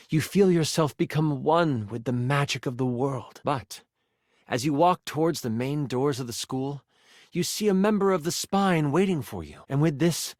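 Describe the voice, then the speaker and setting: An actor playing a theatrical fantasy game master who narrates with dramatic storytelling flair. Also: male voice, on the high side, no echo, clean studio sound.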